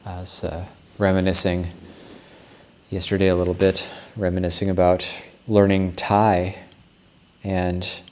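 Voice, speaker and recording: A male Buddhist monk speaks in a very low, level voice that barely rises or falls. He gives a Dharma talk in an unhurried, reflective way, circling back and restarting his sentences as he recalls his own practice. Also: completely flat delivery, a little mellow, slight background noise. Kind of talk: Dharma talk with personal anecdote.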